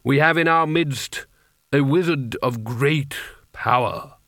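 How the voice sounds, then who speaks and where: A man's voice: mid-range voice, takes it slowly, fairly bright tone, no echo, studio quality. A man voicing a pompous, ambitious senior wizard in a storybook, intoning grandly.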